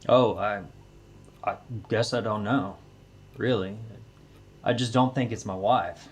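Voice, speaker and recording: An actor playing a folksy, plainspoken country widower, a weary haunted homeowner, in an audio drama. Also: male voice, lowish voice, fairly even intonation, takes it slowly, slightly rough. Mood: guarded. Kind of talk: audio drama character dialogue.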